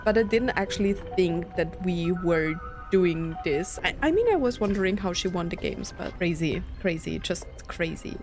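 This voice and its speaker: A woman's voice: on the low side, lively intonation, moderate pace, few pauses. A woman doing let's play commentary, thinking aloud in disbelief and reading game text out. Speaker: gaming streamer. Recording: game music behind the voice. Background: game music and game dialogue.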